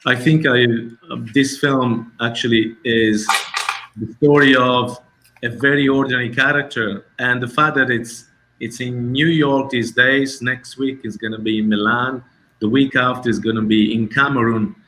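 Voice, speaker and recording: A middle-aged man, a documentary filmmaker answering festival questions over a video call, pausing often and searching for his English words. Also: medium pitch, fairly even intonation, sharp tone, rough recording. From Italy. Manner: hesitant, searching for words, many restarts.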